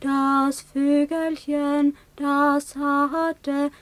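A sorrowful young mother in a poetic radio play. Her voice is high and dry, and she moves very slowly through simple, halting phrases. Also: flat delivery, dry recording, faint music behind. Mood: tender, mournful. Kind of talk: slow radio play acting, poetic lullaby.

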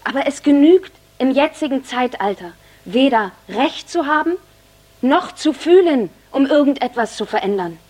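A high-pitched solemn woman recites like a prophet of bleak revolution in a radio play. Her phrasing is grave, deliberate and declamatory. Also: lively intonation, darkish tone. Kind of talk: literary recitation in an audio drama.

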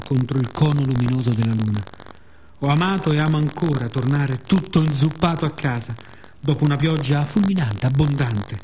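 A man performing a poetic, theatrical radio monologue in a measured, solemn manner with dramatic pauses. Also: mid-range voice, darkish tone. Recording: faint ambient background noise.

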